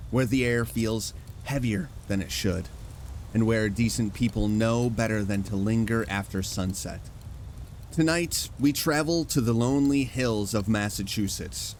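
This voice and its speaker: A man hosting, telling a measured, scripted spooky introduction. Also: mid-range voice, a little hiss or hum. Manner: slow, measured storytelling. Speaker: host.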